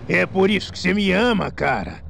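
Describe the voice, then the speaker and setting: A Brazilian man voicing a laid-back, gluttonous fat gangster in a video game fan dub, drawling slangy Portuguese with smooth excuses. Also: high-pitched, fairly bright tone, full character voice. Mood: jovial. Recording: noisy game audio with vehicle sounds.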